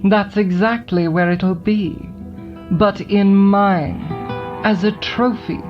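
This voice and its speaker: A composed woman with a scheming edge speaks slowly and coolly, as an actress plays her for an audio drama. The recording is dull and lo-fi, with faint music underneath. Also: mid-range voice, natural voice. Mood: measured. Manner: calm, measured and coolly persuasive. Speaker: voice actor.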